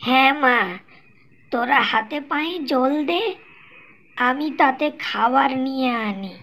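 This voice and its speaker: নারী শিল্পীর গলায় সরল, স্নেহময়ী গ্রামের শাশুড়ি. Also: female voice, high-pitched, slow, bright, forceful tone, full character voice. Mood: hospitable. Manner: fussing, simple-minded questioning. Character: kindly, naive older village mother-in-law. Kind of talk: Bengali cartoon voice acting.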